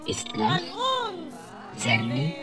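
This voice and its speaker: A woman playing a solemn narrator in an experimental radio play, theorizing about a digital society in deliberate, fragmented phrases. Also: a bass voice, full character voice. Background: music.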